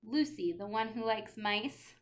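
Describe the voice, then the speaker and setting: A woman's voice: mid-range voice, unhurried, lightly acted. A woman voicing an encouraging, knowing schoolteacher with playful humor and emphatic delivery in a storybook reading. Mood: gentle, knowing.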